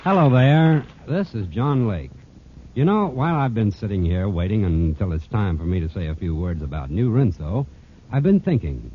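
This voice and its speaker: A man's voice: on the low side, big pitch swings, moderate pace. A male radio announcer reading a scripted 1940s commercial in a persuasive, emphatic way. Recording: tinny, noisy vintage radio recording.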